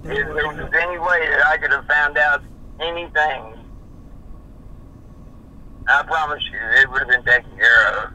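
A man, answering questions on a phone call in a halting, stammering way. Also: on the high side, fairly even intonation, pushed and bright. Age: 50s. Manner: halting, stammering, repeats himself. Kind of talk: casual phone conversation.

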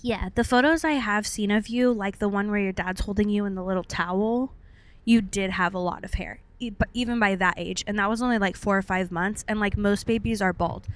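A woman's voice: mid-range voice, fairly quick. A young American woman co-hosting a podcast, telling personal stories in an informal, chatty way with playful wording. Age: young adult. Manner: informal, chatty, uses playful made-up words. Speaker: podcast co-host. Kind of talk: casual chat, personal storytelling.

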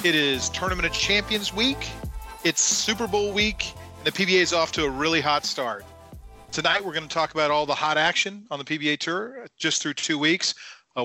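A man's voice: on the high side, sharp tone, no echo. A man hosting a sports podcast in an upbeat, conversational presenting style. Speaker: podcast host.

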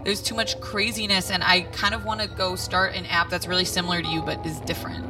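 A woman's voice: fairly low pitch, flat delivery, fast talker, distant mic, over music. A woman improvising comedy, talking in a rambling, apologetic way.

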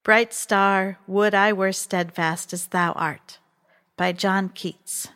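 A woman reciting a poem with measured, deliberate phrasing. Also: mid-range voice, steady tone, a bit bright. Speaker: poetry reader. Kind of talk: poetry recitation.

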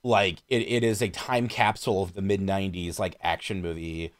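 A man's voice: low voice, steady tone, moderate pace. A man in a casual, opinionated chat about films as a podcast guest, swearing freely and wandering into asides.

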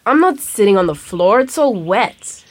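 A woman's voice: mid-range voice, big pitch swings, normal speed. A woman playing a plain-spoken, insistent schoolgirl granddaughter in a naturalistic audio drama. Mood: whiny. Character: stubborn, earnest Australian schoolgirl granddaughter.

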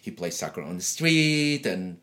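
A very deep-voiced middle-aged man from Eritrea, Tigrinya his first language, speaks in a relaxed conversational interview. He tells his stories freely, restarting sentences and laughing as he goes. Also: unhurried. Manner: chatty storyteller, frequent restarts and laughs.

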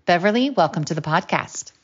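Podcast host, middle-aged woman, lowish voice, measured and thoughtful, slightly muffled lo-fi sound. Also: expressive, husky. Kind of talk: podcast interview. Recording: muffled lo-fi audio.

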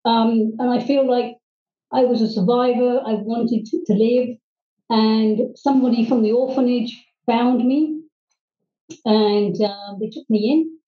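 A middle-aged woman from Hong Kong, telling her life story as a guest, earnestly and haltingly, with many ums and restarts. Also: a little high, steady tone. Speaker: guest, private English teacher. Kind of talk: personal storytelling in interview. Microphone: video call. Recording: distant, roomy, dull video-call audio.

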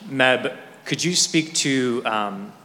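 A man asks from the crowd with a halting delivery. His voice is bright and tense and sounds distant. Hall echo and faint noise surround him. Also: medium pitch. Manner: halting, with ums. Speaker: audience questioner. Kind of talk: asking a question.

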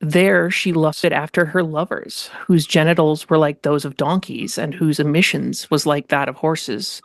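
A woman's voice: deep voice, fairly even intonation, a little slow, dry recording, clean studio sound. A woman co-hosting a podcast, moving between scripted reading and personal discussion. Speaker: podcast host.

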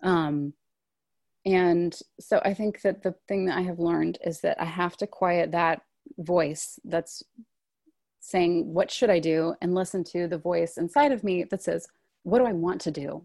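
A woman in her thirties speaks as a guest in a relaxed conversation. Her voice sits on the low side, close to the mic with almost no room sound, and she talks thoughtfully, often pausing on "like" and "um.". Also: gravelly, no room sound. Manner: thoughtful, frequent "like" and "um" fillers. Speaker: guest, stage actor. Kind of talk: casual conversational interview.

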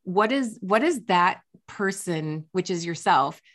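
Podcast host woman, low-pitched, thoughtful and encouraging, says like a lot. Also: animated, slow pace, a bit bright. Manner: reflective, encouraging, many "like" fillers. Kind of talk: casual interview chat.

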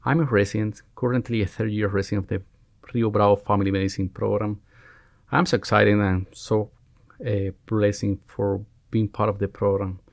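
Cuban-accented guy in his 40s, low gravelly voice, stops and restarts a lot. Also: little pitch movement, no room sound, clean studio sound. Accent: Cuban Spanish. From Cuba. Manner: halting, searching for words, frequent restarts. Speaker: guest, family medicine resident. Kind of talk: podcast interview.

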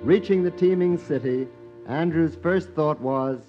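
A man narrating and announcing a scripted radio drama in a formal, measured, 1940s storytelling style. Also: a little high. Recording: dull old radio recording with music. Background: music.

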